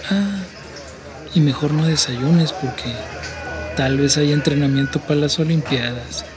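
A somewhat high male voice with a Mexican accent. He is an actor as a shady border smuggler in a radio drama, cocky, brusque and full of slang. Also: a little slow, over music, close to an everyday voice. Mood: bossy, sly. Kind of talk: radio play acting.

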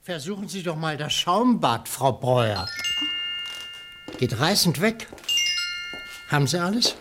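Unhurried, higher-voiced obliging shopkeeper. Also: male voice, very animated, loud music behind the voice. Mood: brisk, obliging. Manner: obliging, polite, salesmanlike. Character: courteous, busy male small-town shopkeeper. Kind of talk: radio crime drama acting.

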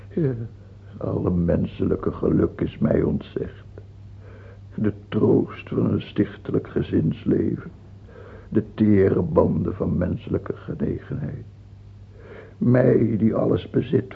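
An actor playing a sick, paranoid, self-pitying old king in a radio drama, with a husky, gravelly voice and a theatrical, halting delivery. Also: lowish voice, full character voice. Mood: bitter, suspicious. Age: older. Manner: theatrical, halting, swinging between whining and outbursts. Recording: dull, muffled old radio recording.

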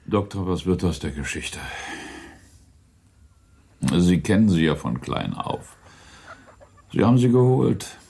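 A man acting a worried older country gentleman in a radio play, plain-spoken and halting, sometimes dropping to a murmur. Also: low-pitched, very animated, creaky, slightly rough, full character voice.

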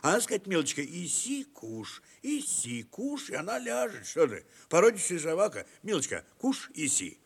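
An actor playing a tipsy, boastful middle-aged army lieutenant in a theatrical radio play, coaxing, rambling and repeating himself emphatically. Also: male voice, high-pitched, wildly swooping pitch, moderate pace, few pauses, husky, full character voice. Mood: coaxing, huffy.

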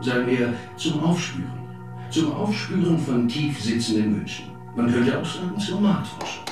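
An actor playing a persuasive veteran professor lecturing in a German radio drama, in a rhetorical, declamatory manner. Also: male voice, on the high side, expressive, normal speed, lightly acted. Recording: very echoey, distant, music behind.

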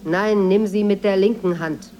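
An actress in a radio drama playing a watchful maid, deferential on the surface but measured and needling. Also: female voice, medium pitch, fairly even intonation, mellow, muffled recording, slight background noise, lightly acted. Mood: needling, defiant. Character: watchful, insinuating Moroccan maid, outwardly deferential, quietly defiant. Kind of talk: 1980s German radio drama acting.

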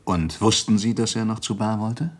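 A man's voice: lowish voice, normal speed. A man playing a businesslike, brusque police inspector in a radio play, questioning in a clipped manner. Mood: probing. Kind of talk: German radio play acting, interrogation dialogue.

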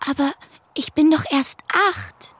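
A woman's voice: high voice, flat delivery, measured pace. An actress playing a dreamy, earnest eight-year-old girl in a German radio play. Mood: timid, wondering. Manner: childlike, earnest.

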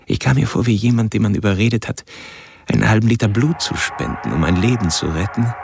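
Low, gravelly embittered male outcast. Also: brisk, a little mellow, slight background noise. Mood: brooding, aggrieved. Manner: ironic, self-pitying, articulate. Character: embittered law-and-order man brooding as a secret outcast. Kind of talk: radio drama inner monologue.

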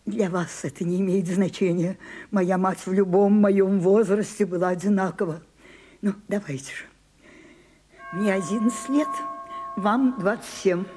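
An actress in a radio play, playing an imperious, capricious ageing stage diva with a theatrical, commanding, husky delivery. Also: female voice, mid-range voice, fairly even intonation, unhurried, mellow, faint music behind. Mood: impatient.

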